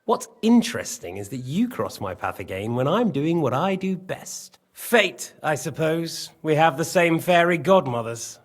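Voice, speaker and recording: An actor playing a smug, treacherous soldier in a video game, with a sly, mocking manner. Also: male voice, a little high, very animated, a little slow, few pauses. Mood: smug, taunting. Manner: sly, mocking, swinging between barking and silky. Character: smug, treacherous captured soldier serving a king. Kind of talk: video game cutscene acting.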